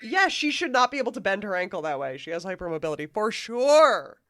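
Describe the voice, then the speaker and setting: A woman guest chats casually about a book on a podcast. Her mid-pitched voice is very animated and comes through dry, without room sound. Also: a little fast, no room sound.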